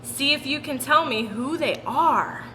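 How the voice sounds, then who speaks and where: A woman reading a picture book aloud for children in an animated, sing-song storytelling manner. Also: high voice, unhurried. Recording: roomy long reverb with background noise.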